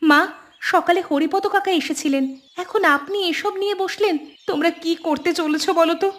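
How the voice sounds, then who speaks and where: Cartoon young woman: extremely high voice, anxious, dutiful, pleading, horror tale. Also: normal speed, a bit bright. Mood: worried. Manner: pleading, worried, respectful. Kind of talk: scripted Bengali horror cartoon acting.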